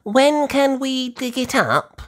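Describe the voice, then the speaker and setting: Woman as an excitable high-pitched little girl piglet. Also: slow. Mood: eager, impatient.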